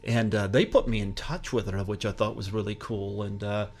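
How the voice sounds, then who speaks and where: A man's voice: lowish voice, steady tone, moderate pace. Easygoing male podcast host. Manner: easygoing, laughs, fills with uh and um. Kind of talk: casual interview chat.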